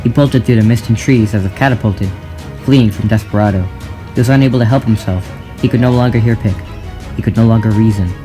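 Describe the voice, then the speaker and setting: A man's voice: on the low side, fairly quick, warm tone. A man narrating a scripted story in a dramatic style, with music behind his voice. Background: clear music.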